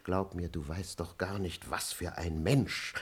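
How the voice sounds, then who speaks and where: A man acting a talkative, cowardly manservant in a 1950s German radio play, husky, confiding and theatrically emphatic. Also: deep voice, fairly even intonation, a little slow.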